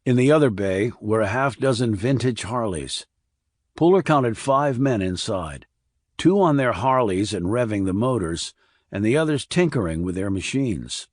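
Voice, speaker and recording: A man's voice: lowish voice, expressive, normal speed, no echo, clean studio sound. A man reading scripted crime thriller narration as an audiobook narrator.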